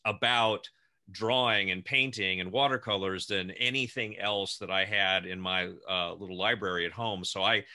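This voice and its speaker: A man's voice: deep voice, moderate pace, sharp tone. A man in his sixties, co-hosting a casual interview, reminiscing with frequent laughs and restarted phrases. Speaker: co-host, former child actor. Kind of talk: casual video-call chat.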